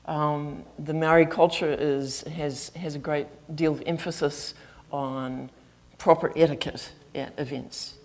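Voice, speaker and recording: Woman, New Zealand accent, middle-aged, low pitch, chatty lecture in an auditorium. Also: fairly even intonation, a little slow, hardly any pauses, a little mellow. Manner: chatty, self-deprecating, frequent ums. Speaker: guest speaker, flute professor. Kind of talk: lecture to an audience. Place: campus auditorium.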